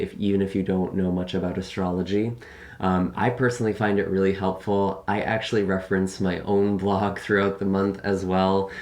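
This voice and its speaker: A young man speaking earnestly to a camera at his desk in a small room, his voice sitting deep and low. It is a little raspy from a long day of recording, and he leans on fillers as he talks through the month ahead. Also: darkish tone. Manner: earnest, filler-heavy, gestures with hands. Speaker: tarot reader. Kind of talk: casual monthly forecast to camera. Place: small room by a window at home.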